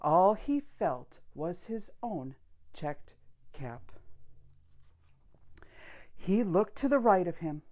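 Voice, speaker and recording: Lady reading a kids picture book, takes it slow, expressive, lots of up n down, dramatic pauses. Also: fairly low pitch. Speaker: storyteller. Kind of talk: picture-book read-aloud for children.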